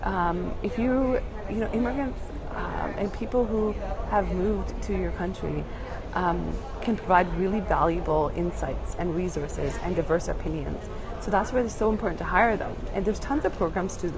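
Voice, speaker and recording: A woman's voice: fairly low pitch, moderate pace. A woman speaking casually and thoughtfully about workplace inclusion, with many fillers and restarts, recorded at an airport. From Canada. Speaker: diversity speaker. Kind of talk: casual spoken answer. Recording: noisy airport background. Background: airport announcements and bustle.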